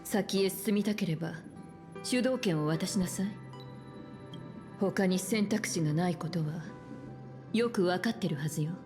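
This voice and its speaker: A woman's voice: lowish voice, quick pace, long pauses, music in the background, close to an everyday voice. An actress playing an assertive, self-assured woman in a fantasy video game, with a cool, commanding manner. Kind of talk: Japanese video game voice acting.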